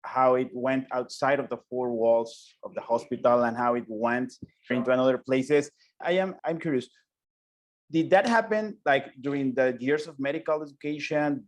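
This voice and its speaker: Earnest man asking halting questions. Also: medium pitch. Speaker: interview host. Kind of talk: interview questions.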